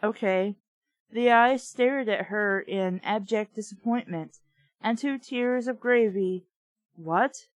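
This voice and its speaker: A woman's voice: mid-range voice, takes it slowly, no echo. A woman reviewing a novel, reading passages aloud with wry asides and stumbling over names. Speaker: book reviewer.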